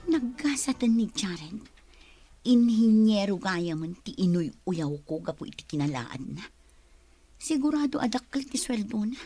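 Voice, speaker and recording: A woman's voice: mid-range voice, big pitch swings, unhurried, dark tone, breathy edge, soft background music, heavily acted. A woman acting a distressed young pregnant woman, plaintive and dramatic, in an emotional radio-style melodrama. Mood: brooding.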